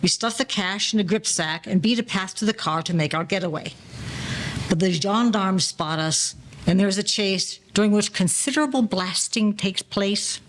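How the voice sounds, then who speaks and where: A woman playing an aging male gangster safecracker in an audio play, telling stories in slangy, mock-formal 1940s style with quiet menace. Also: medium pitch, moderate pace, a little hiss or hum, lightly acted. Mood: wily storytelling.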